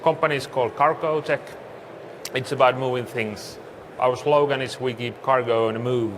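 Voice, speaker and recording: A man's voice: mid-range voice, steady tone, moderate pace. A man from Finland with a Finnish accent gives a business presentation as a conference speaker. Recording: clearly noisy live room recording.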